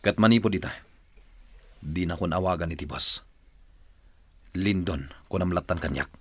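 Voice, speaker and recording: A stern, loyal elder brother in a Filipino radio drama. His accented voice is low and raspy, recorded dry. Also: normal speed, no room sound. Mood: resolute.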